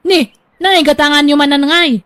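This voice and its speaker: Lady doing a nagging older wife, high voice, scolds fast. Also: steady tone, a bit bright. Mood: scolding.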